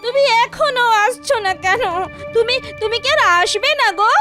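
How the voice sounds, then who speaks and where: A woman's voice: very high voice, normal speed, over loud music. A woman voicing a small, trusting, timid schoolboy in a children's cartoon, childlike and inquisitive. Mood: terrified, pleading.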